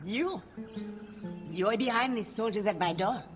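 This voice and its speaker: An actress playing a guarded, world-weary woman merchant in a video game, speaking gravely and dramatically. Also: mid-range voice, unhurried, close to an everyday voice. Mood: alarmed, defensive. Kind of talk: video game cutscene acting. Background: music.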